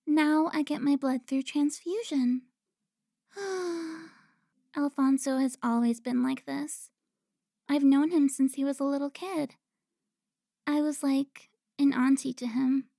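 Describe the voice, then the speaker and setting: High-voiced coquettish vampire played by a woman. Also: fairly even intonation, gravelly, mellow, dry recording, studio quality, full character voice. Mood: coy, needling. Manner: playful, pouty, drawn-out teasing. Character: teasing, coquettish centuries-old female vampire who looks twenty. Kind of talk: cinematic audio roleplay acting.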